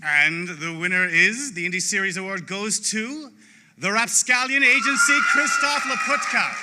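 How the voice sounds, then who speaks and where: Male award presenter, slightly high pitch, casual and joking, noticeable background noise. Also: normal speed, bright, forceful tone.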